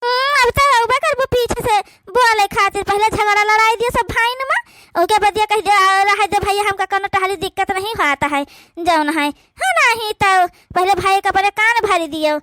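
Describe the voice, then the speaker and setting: A woman voicing a resentful, sharp-tongued village sister-in-law in a family cartoon, complaining and taunting. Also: extremely high pitch, dry recording, studio quality. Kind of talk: rural family cartoon dialogue.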